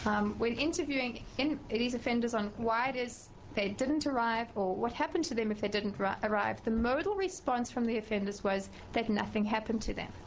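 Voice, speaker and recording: A middle-aged professor with a South African accent gives formal testimony before a committee. Her voice sits slightly high, and she speaks in a measured way, pausing on fillers and restarting phrases. A faint hiss runs underneath. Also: rough recording.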